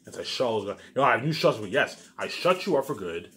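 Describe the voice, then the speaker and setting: A man's voice: medium pitch, big pitch swings, fast, fairly bright tone. A man giving a rambling, casual monologue as a video maker, insistent, boastful and often repeating himself. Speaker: video maker talking to viewers.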